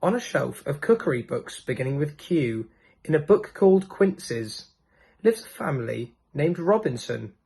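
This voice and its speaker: Lively, higher-voiced man reading a storybook. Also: a little slow, creaky, breathy edge. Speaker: storybook reader. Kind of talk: scripted narration of a children's storybook.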